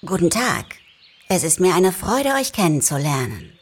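A woman voicing a polite, formal talking fox who is softly insistent, in a storybook reading. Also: on the low side, very animated, moderate pace, breathy edge. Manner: courteous, old-fashioned, softly insistent.

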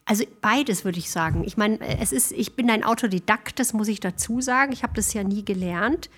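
A woman guest talks casually in an interview. She has a medium-pitched voice and explains things reflectively. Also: fairly quick, a bit bright. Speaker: guest, singer. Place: small theatre, live recording.

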